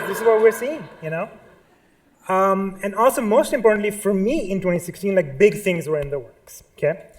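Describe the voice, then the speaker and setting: A man with a Pakistani accent giving a live artist talk at a museum, nervous and full of ums, restarts and asides. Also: high voice. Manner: nervous, many fillers and restarts. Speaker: artist speaker.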